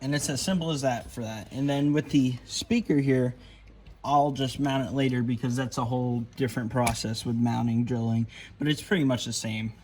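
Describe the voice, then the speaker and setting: A man's voice: medium pitch, normal speed, darkish tone. A man giving an informal hands-on tutorial, talking as he works, on a slightly noisy home video with handling clicks. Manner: informal, talking while working with his hands. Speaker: vlogger demonstrating a CB radio installation. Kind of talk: casual hands-on tutorial talk. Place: garage, working at a car.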